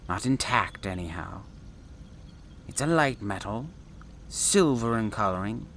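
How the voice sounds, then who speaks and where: A man's voice: mid-range voice, a bit bright. Eccentric traveling professor played by a man for sci-fi audio drama: theatrical, exclamatory, swooping delivery, slight background noise. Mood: urgent, insistent. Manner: theatrical, exclamatory, wordy and formal. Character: eccentric male space traveler and professor, insistent, flustered, grandiose.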